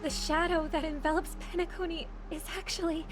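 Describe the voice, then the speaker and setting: Music plays clearly behind a high young woman's voice from a video game. She is a gentle singer, earnest and troubled. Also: fairly even intonation, a little fast. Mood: shaken realization. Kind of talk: dramatic video game voice acting. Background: background music.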